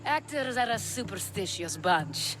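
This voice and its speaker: Slow-talking sultry courtesan. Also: female voice, mid-range voice, very animated, music in the background. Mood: wary, scornful. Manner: teasing, languid, dry. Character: sultry, sardonic ancient Greek courtesan, street-wise and flirtatious. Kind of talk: video game cutscene acting.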